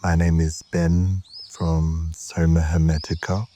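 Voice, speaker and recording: A man guiding a meditation in a calm, measured way, with short phrases, long pauses and a soft, at times breathy voice. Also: deep voice. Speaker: yoga nidra meditation guide. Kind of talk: slow guided meditation narration.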